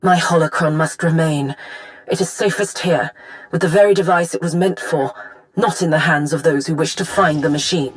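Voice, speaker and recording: A woman playing a solemn, secretive Sith lord in a video game monologue, speaking formally and gravely. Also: low voice, moderate pace, lightly acted, low-quality recording. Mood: grave, resolved.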